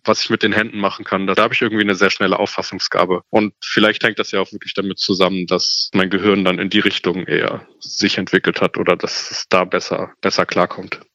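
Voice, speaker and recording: A young man in his twenties speaks in a measured, thoughtful way with little rise and fall. His voice sits low but has a bright, tense edge. The sound is dry and close, with no room echo. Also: dull audio. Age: 20s. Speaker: student. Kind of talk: personal testimony.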